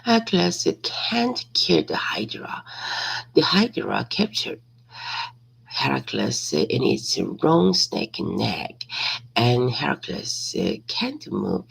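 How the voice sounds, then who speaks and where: A woman reading a simple story aloud as practice, hesitantly, repeating and correcting words, with a raspy, creaky voice. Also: very deep voice, very animated, takes it slowly, poor audio.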